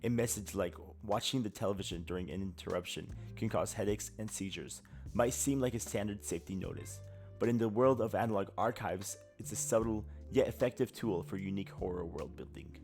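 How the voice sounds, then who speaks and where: Lower-pitched man, guest video commentator. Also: a little fast, vocal fry, darkish tone, soft background music. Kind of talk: video narration and casual reactions.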